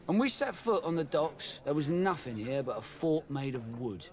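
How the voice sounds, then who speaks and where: A man's voice: a little high, expressive, moderate pace, lightly acted. A man playing a chatty, sly ex-soldier tavern keeper in a video game, with a folksy manner. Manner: folksy, colloquial. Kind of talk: video game dialogue acting.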